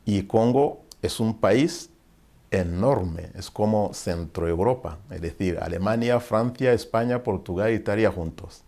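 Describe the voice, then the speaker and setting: Priest from Rwanda, forties, very low voice, reflective testimony told with pauses. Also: male voice, a little mellow. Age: 40s. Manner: reflective storytelling, pauses to search for words. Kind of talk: interview guest recounting his life.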